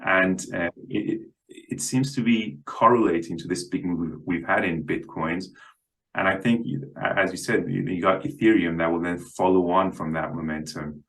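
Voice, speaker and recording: A man speaking as a guest analyst in an unscripted interview, halting and often repeating the starts of words. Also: low voice, fairly even intonation, vocal fry, fairly bright tone, poor audio. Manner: halting, stammers on word starts.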